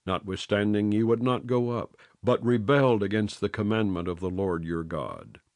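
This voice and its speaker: A man reading scripture aloud in a measured, solemn way, phrase by phrase. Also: fairly low pitch, expressive, a little mellow, no room sound. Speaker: Bible reader.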